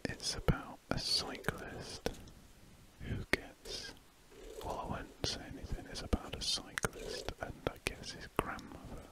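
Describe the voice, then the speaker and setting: A British man whispering breathily in a rambling, hesitant ASMR style, with trailing pauses. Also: pushed and bright, rough recording. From United Kingdom. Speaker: ASMR artist narrating while drawing. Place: indoors at a desk, drawing. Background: pen scratching on paper.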